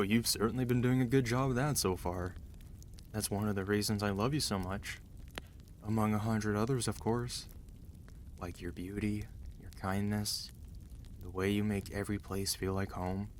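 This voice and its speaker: A man's voice: lowish voice, slight background noise, close to an everyday voice. A man playing a weary, protective mafia boss husband in an intimate ASMR roleplay, speaking tenderly and earnestly, as if confiding. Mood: affectionate.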